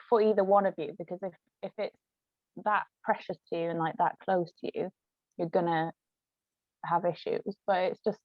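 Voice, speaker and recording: A woman's voice: lowish voice, no room sound, phone-quality sound. A woman in casual conversation as an author, tentative and searching for her words. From Britain. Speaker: author, co-writer of a novel.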